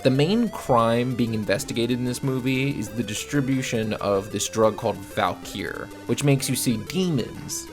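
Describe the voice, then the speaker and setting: A man giving scripted film review commentary in a blunt, exasperated, sardonic way, with a gravelly creak. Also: medium pitch, a little slow, few pauses, over music. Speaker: video reviewer.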